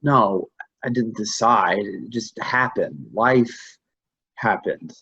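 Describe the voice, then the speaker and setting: A man acting a shaken, confused husband in grief in a staged play reading, speaking haltingly and repeating himself. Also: on the low side, animated, bright, forceful tone, husky, highs cut off, heavily acted. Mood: wistful. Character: shaken, confused husband grieving a lost pregnancy.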